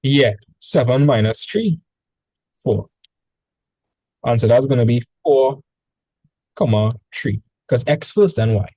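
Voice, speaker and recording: A man with a Trinidadian accent tutoring a student in math over a video call, relaxed and chatty. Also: medium pitch, slow, long pauses, husky, no room sound, low-quality recording. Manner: relaxed, chatty, repeats words when starting. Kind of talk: casual online tutoring session.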